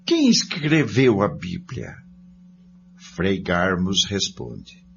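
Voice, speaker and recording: A man's voice: on the low side, very animated, drawn-out words, gravelly. A man reads scripted religious narration in a declamatory, emphatic way. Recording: dull lo-fi audio with faint noise.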